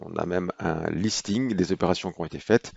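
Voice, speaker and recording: A man with a very low, gravelly voice explains a software procedure step by step, unhurried and often hesitating. The recording is dry and close, with a slightly dull, lo-fi sound. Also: no echo. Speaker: software trainer. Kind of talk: screen-recorded software tutorial.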